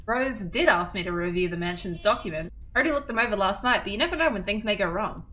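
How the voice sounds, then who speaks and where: A woman streamer reading a game's story aloud in an expressive storytelling manner. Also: mid-range voice, quick pace, faint music behind, poor audio.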